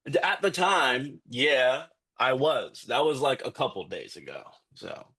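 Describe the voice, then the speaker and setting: An actor playing an exasperated, lovestruck young man in a live dark comedy script read, halting and defensive. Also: medium pitch, very animated, husky, full character voice. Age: young adult.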